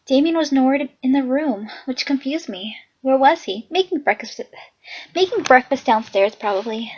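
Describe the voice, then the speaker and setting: A hoarse young woman reading a visual novel aloud, chatty with excitable asides. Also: high-pitched, moderate pace, muffled recording. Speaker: game reader and narrator.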